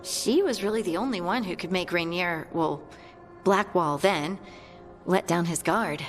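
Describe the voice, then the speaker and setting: A woman playing a warm, earnest young scout in a fantasy video game, chatting in a friendly, conversational way. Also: on the low side, unhurried, soft background music, close to an everyday voice. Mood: fond, chatty. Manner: chatty, friendly, lightly amused.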